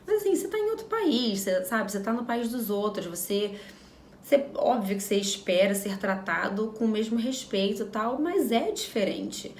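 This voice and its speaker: A woman's voice: a little high, sing-song, normal speed, echoey room, slight background noise. A Brazilian woman in her thirties talking frankly to camera in a casual vlog, repeating phrases for emphasis. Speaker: vlogger.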